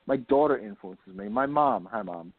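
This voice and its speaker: Husky man on a tinny phone line. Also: fairly low pitch, expressive, normal speed. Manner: enthusiastic, rambling, emphatic repetition. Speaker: author guest being interviewed. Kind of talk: casual interview talk. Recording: lo-fi phone-like line, roomy echo.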